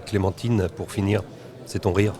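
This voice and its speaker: Low-voiced man hosting amid crowd chatter. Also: steady tone, normal speed. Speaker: podcast host. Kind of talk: hosting a live roundtable podcast. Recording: busy crowd chatter behind.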